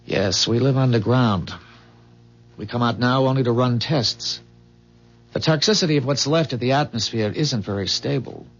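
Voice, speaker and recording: Man acting a husky, earnest visitor from the future. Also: mid-range voice, faint music behind, close to an everyday voice. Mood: grave, matter-of-fact. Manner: measured, explanatory, solemn. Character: calm, earnest male visitor from a dying future. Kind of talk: 1970s radio drama acting. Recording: dull, muffled 1970s radio drama recording.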